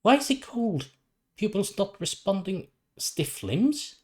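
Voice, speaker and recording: Guy doing an alarmed er doctor in a story, pitched up, clipped and baffled. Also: very animated, takes it slowly, darkish tone, heavily acted. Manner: clipped, urgent. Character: alarmed, baffled hospital doctor on a crash team.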